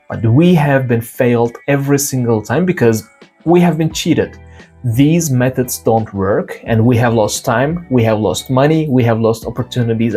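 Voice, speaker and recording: A man's voice: medium pitch, a little mellow, slightly rough. A young man from Turkey with a Turkish accent and Turkish as his first language, hosting an English learning channel and talking earnestly to camera, acting out stories with quoted dialogue. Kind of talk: direct-to-camera motivational talk.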